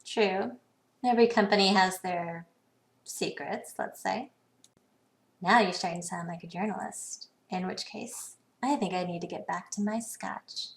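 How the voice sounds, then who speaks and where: A woman acting a savvy, poised businesswoman in a video game, speaking in a dry, sardonic, measured way. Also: medium pitch, lightly acted. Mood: guarded, wry.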